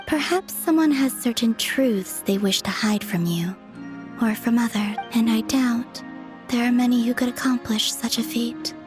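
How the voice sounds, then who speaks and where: An actress playing a serene, otherworldly moon maiden in a video game, speaking softly in a measured, formal, detached way. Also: female voice, fairly high pitch, lightly acted.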